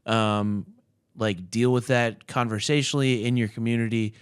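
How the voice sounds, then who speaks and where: A man's voice: mid-range voice, fairly even intonation, normal speed, clean tone, no room sound, clean studio sound. A man interviewing casually, phrasing his questions carefully and a little tentatively. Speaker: podcast interviewer.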